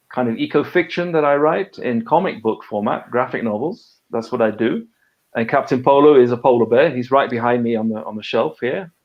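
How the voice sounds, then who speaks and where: A man's voice: mid-range voice, highs cut off. A man, an author and conservation biologist from a British and French background, talks casually on a live video call, with many fillers and restarts. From half British, half French.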